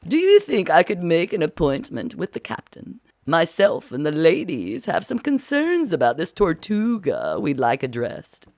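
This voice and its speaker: A woman's voice: on the low side, huge pitch swings, unhurried, few pauses, a little mellow, no room sound, full character voice. A narrator voicing a fussy older noblewoman who talks in a genteel, gushing way. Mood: gushing, concerned.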